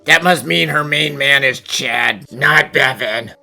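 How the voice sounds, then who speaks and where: Lady putting on a super gravelly sharp little sidekick critter, blunt and dry, cartoon dub with some music. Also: rumbling low, slow pace, full character voice. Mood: deadpan. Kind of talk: animated fan dub voice acting.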